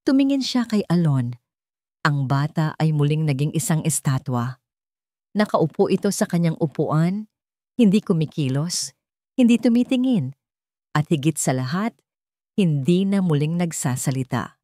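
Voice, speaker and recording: A woman with a Filipino accent narrates a dramatic story in a lowish voice. Her delivery is scripted storytelling for an audio drama. The sound is dry, with very little room in it. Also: very animated, normal speed, long pauses, warm tone, no room sound.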